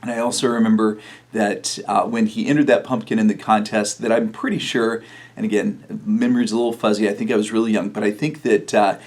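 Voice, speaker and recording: Middle-aged male pastor with a low voice, relaxed storytelling with fillers, for a video sermon. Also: brisk. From New Mexico, USA.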